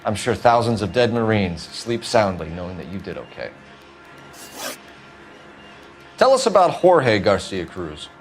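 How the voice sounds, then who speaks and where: A man's voice: lowish voice, very animated, moderate pace, faint music behind. An actor playing a stern, pressing, sardonic male police detective in a video game. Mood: cold, pressing. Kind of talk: acted video game dialogue.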